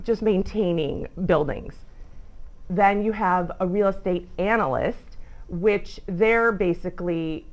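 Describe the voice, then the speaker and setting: Chatty middle-aged realtor woman from Illinois. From northern Illinois. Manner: chatty, lively pitch swings, self-interrupting asides. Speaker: guest speaker, realtor. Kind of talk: informal talk to students. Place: school club meeting room.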